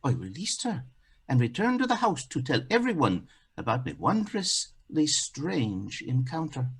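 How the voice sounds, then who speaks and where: A man's voice: on the high side, big pitch swings, a little slow, husky. A man telling a folktale as a theatrical monologue, with dramatic, declamatory phrasing. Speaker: actor telling a folktale in a play.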